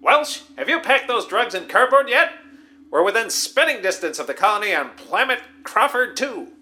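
A pompous starship captain, as a man acts him, barking orders and announcing his full title in a comedy audio play. The voice is sharp and piercing, high for a man and full of swoops. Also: sing-song, normal speed, exaggerated voice. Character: pompous self-important male starship captain.

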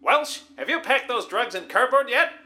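An actor playing a pompous, bumbling starship captain in a sci-fi parody audio drama, declaiming grandly. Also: male voice, extremely high pitch, expressive, moderate pace, sharp tone, echoey room, exaggerated voice. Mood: self-important, calling.

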